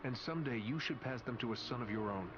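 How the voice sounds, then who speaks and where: An actor playing a noble ranger warrior in a game, steady and protective, with formal, courteous speech. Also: male voice, medium pitch, fast, lo-fi sound, background noise, close to an everyday voice. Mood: courteous, reassuring. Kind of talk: video game cutscene acting. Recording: loud music behind the voice.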